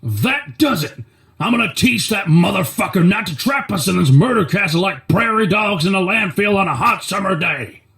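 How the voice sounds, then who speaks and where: A man's voice: high-pitched, expressive, normal speed, a bit bright. A man playing an angry, foul-mouthed folksy fellow bent on revenge in an audio drama. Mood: angry, defiant. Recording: echoey, away from the mic.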